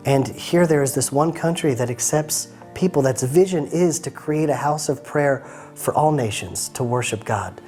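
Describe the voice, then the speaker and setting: A man's voice: mid-range voice, moderate pace, a little mellow, faint music behind. A man in his thirties from Atlanta, giving an earnest personal testimony as an interview guest in short fervent phrases. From United States (Atlanta).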